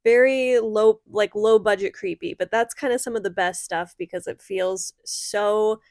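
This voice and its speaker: A woman's voice: medium pitch, measured pace, a little mellow, no echo. Woman in casual chat, dry recording. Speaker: host of horror film review show. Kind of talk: casual conversational film discussion.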